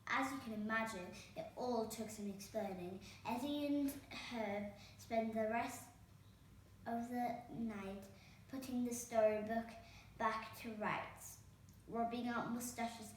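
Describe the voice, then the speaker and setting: A woman's voice: on the high side, steady tone, slow pace. A woman reading a children's storybook aloud as a storytime host. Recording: very echoey room, distant microphone, faint noise.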